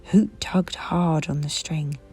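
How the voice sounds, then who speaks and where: A woman reading a bedtime storybook aloud to children in a soft, breathy voice, with music behind. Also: low voice, slow. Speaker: children's book reader.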